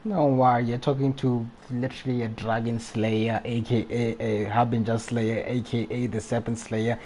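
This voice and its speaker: A man's voice: medium pitch, little pitch movement, moderate pace, warm tone, a little hiss or hum. A young man commentating casually on a live game stream, slangy, chatty and reactive.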